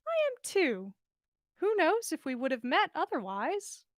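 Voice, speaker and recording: Storybook role, played by a woman: strange, dreamy young woman, high-pitched, honest and earnest, dry recording. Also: very animated, measured pace, no room sound. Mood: happy, dreamy. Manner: solemn, utterly honest. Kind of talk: fan fiction story reading.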